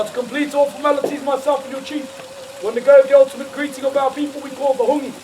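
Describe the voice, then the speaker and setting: A New man declaims a formal welcome to visitors at a cultural village. His voice sits very high, and it reaches the mic from afar. Steady noise runs underneath. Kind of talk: ceremonial welcome address to tourists. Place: live cultural show venue. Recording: distant voice with loud background noise.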